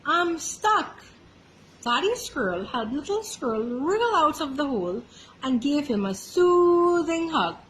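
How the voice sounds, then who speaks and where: A woman storyteller reading a children's picture book aloud in an expressive, animated way. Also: sits high, moderate pace, a little hiss or hum, low-quality recording. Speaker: library storyteller.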